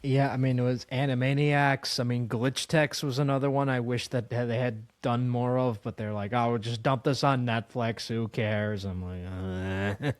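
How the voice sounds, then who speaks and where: A man's voice: mid-range voice, steady tone, moderate pace, hardly any pauses, no echo. A man hosting an interview in casual chat, talking like an exasperated fan. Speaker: interview host.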